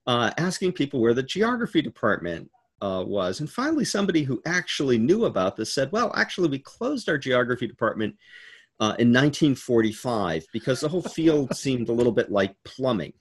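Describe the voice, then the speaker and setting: A man in his sixties giving a halting, storytelling answer in an informal virtual interview, with many fillers and the odd laugh. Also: mid-range voice, very animated, breathy edge. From United States. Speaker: keynote speaker. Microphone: video call.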